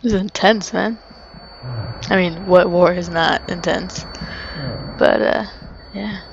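A young woman chats her way through a shooter, rambling and laughing as she plays. Her voice sits a bit low for a woman. Game sounds run clearly in the background. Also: fairly even intonation, slow pace, lo-fi sound, slight background noise. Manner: chatty, rambling, laughs often. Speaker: let's play host. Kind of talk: casual gameplay commentary. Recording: commentary over game sounds.

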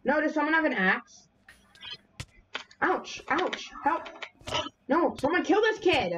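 A fairly high, lively woman's voice, jumping all over the place as she yelps at the game and trades jabs with friends. She swaps real swears for mild stand-ins. A clear hiss and clicking keys sit under her home stream mic. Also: slow pace, lo-fi sound. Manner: excitable, yelps and shouts at the game, swaps swears for minced oaths. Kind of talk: casual gaming chat.

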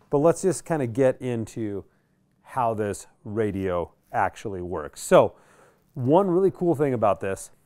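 A man's voice: on the low side, a little mellow. A middle-aged American man presenting a product in a casual, conversational way, thinking aloud as he goes. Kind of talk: casual unboxing commentary. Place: indoor radio workshop.

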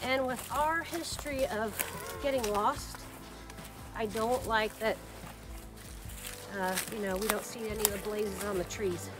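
A woman's voice: a little high, fairly even intonation, unhurried, pauses often, over music. A woman in her forties co-hosting a casual hiking vlog, wry and mildly worried, speaking outdoors in winter woods into a phone. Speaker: vlog co-host. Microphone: handheld phone camera.

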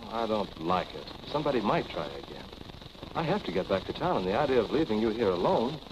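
An actor playing a concerned, proper gentleman friend, formal and earnest, in a 1940s film. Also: lowish voice, very animated, quick pace. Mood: worried. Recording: noisy vintage film soundtrack.

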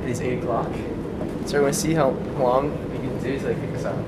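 A man's voice: mid-range voice, fairly even intonation, fast talker, very noisy. A young man filming a casual prank vlog on a handheld phone, joking and rambling with restarts. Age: young adult. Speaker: vlogger. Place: furniture store, then gym.

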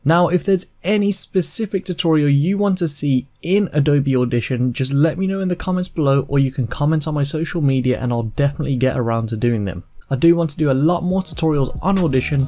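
A man in his twenties narrating a software tutorial in an informal, step-by-step way. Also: a little high, fairly quick, hardly any pauses. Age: 20s. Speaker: tutorial host.

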